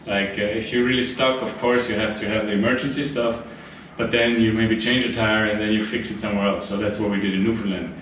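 A man's voice: fairly low pitch, steady tone, fast talker, hardly any pauses, fairly bright tone. A man in his thirties with a German accent, chatting casually as he shows off his travel gear, with fillers and restarts. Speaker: vlogger. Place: large tiled room indoors, then inside a truck garage. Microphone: camera mic from a few meters off. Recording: echoey room, distant camera mic, noisy.

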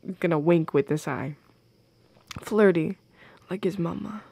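Soft creaky-voiced teasing goth girlfriend, played by a woman. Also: lowish voice, unhurried, full character voice. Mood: playful, affectionate. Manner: teasing, coy, giggly. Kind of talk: intimate audio roleplay addressed to the listener.

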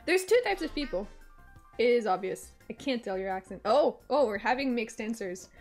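A young woman with a French Canadian accent, French her first language, talks to her stream in a fairly high, excitable voice. She swears freely, hums little tunes and bursts into exclamations, with faint game music playing underneath. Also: moderate pace. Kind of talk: casual live-stream chat while gaming.

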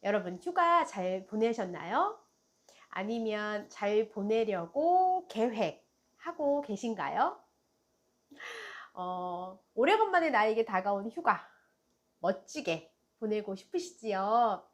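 Woman, middle-aged, daycare director, gentle advice talk, slow, sing-song rise and fall. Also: mid-range voice, sing-song. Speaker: daycare center director and channel host. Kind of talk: warm advice talk to parents.

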